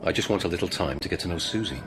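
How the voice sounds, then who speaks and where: A man's voice: sits low, expressive, very fast, background noise. An actor playing a guarded, privately insecure lawyer in his thirties in a radio drama, talking in a conversational, questioning way. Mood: smooth, insistent. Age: 30s. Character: male lawyer nearing forty, probing, guarded, privately insecure. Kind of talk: scripted radio drama acting. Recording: music playing underneath.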